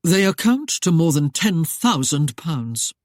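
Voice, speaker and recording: A woman's voice: low-pitched, very animated, a little slow, a little mellow, no room sound, clean studio sound. A woman voicing a self-assured nobleman who speaks in a formal, leisurely drawl. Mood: composed.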